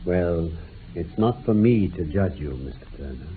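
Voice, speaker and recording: An actor playing a shrewd, composed gentleman detective in a radio drama, speaking in a measured, formal, probing way. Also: sits low, expressive. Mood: grave. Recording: muffled phone-like old radio recording, hiss.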